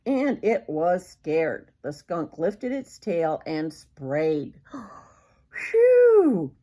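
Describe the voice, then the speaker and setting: A woman's voice: medium pitch, dark tone. An older woman reading a children's picture book aloud expressively, as a grandmother would, with questions to the young listener.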